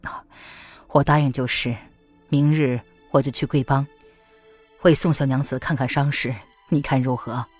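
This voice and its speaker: A woman narrator voicing a reserved young male physician, speaking low and measured. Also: steady tone, darkish tone, breathy edge, faint music behind. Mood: calm. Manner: measured, low, slightly hesitant.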